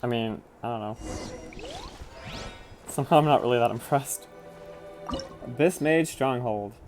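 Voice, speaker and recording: A man's voice: mid-range voice, a little slow, darkish tone. A man doing casual live gameplay commentary, thinking aloud in a wry, mildly exasperated way. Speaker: game streamer. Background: game music.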